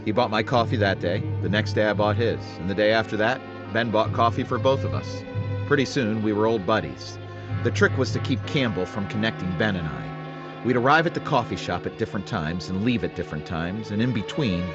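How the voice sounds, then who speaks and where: An actor playing a terse police sergeant who narrates his case in a flat, matter-of-fact way, in 1940s radio acting style. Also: fairly low pitch, a little fast, highs cut off, music in the background, natural voice. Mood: narrating.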